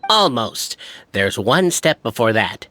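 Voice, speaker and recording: A man's voice: medium pitch, sing-song, slow pace, bright, forceful tone, no room sound, heavily acted. A man voicing a proud, brash, argumentative clansman in a video game, boasting with pompous logic. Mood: boastful. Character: proud, brash, argumentative male clan member. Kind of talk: video game character acting.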